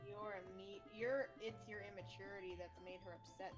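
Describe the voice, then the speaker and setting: Woman as a hushed, bookish schoolgirl. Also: medium pitch, fairly even intonation, normal speed, over music, pitched below the performer's own voice, close to an everyday voice. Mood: quiet reproach. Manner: hushed, earnest, formal delivery. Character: timid, refined, bookish teenage schoolgirl, softly indignant. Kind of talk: amateur visual novel voice acting.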